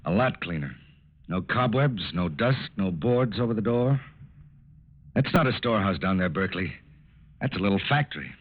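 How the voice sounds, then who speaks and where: An actor playing a calm, businesslike FBI agent in a 1940s radio drama, terse, polite and purposeful. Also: male voice, fairly low pitch, moderate pace, pauses often. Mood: quietly purposeful. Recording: tinny narrow-band old radio recording.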